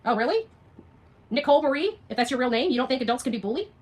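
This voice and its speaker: A woman's voice: high-pitched, sing-song, fast talker. A woman hosting an unscripted livestream rant, arguing with viewers in a combative, emphatic way, repeating phrases and firing off rhetorical questions. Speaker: livestream host responding to viewer comments.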